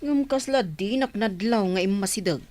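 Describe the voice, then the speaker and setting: A woman's voice: mid-range voice, normal speed, darkish tone, natural voice. A woman playing a teasing, nosy young village friend in an Ilocano comedy radio drama. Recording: faint music behind the voice.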